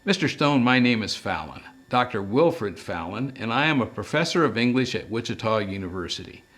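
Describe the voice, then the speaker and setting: A man's voice: mid-range voice, expressive, brisk, a bit bright, lightly acted. A man voicing a priggish, anxious male English professor in a detective audiobook, speaking formally and wordily. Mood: formal, fussy. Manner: formal, wordy, fussy.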